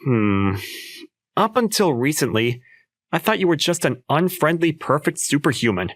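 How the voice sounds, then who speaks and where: A man as an animated, teasing young male student. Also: a little high, moderate pace. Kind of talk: manga dub acting with first-person narration.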